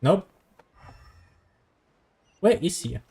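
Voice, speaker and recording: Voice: mid-range voice, very animated, very slow, vocal fry, warm tone. A person giving casual, dry, joking commentary while playing through a video game. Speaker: gaming video maker.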